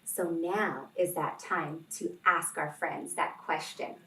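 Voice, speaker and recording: A woman hosting a children's read-along, reading expressively and addressing young listeners deliberately as friends. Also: deep voice, steady tone, bright, forceful tone, a little hiss or hum. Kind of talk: expressive picture-book read-aloud and talk to young children. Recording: roomy, distant microphone, music behind.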